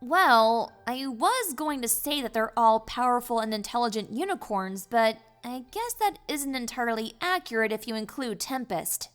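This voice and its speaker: A woman's voice: on the high side, animated, moderate pace, hardly any pauses, a bit bright, soft background music. A woman voicing a bookish young pony princess in a fan fiction audio drama, awkward and earnest, with a wry, flustered delivery.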